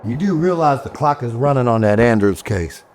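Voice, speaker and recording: A man playing a gruff, irritable detective in an audio drama, blunt and grumbling. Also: medium pitch, lively intonation, moderate pace, darkish tone, slight background noise, close to an everyday voice.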